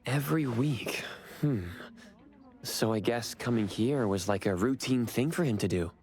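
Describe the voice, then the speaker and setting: A man's voice: mid-range voice, a little slow, darkish tone, close to an everyday voice. A man playing a reserved, polite young man in an anime game, speaking in an understated, hesitant way. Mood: polite, earnest.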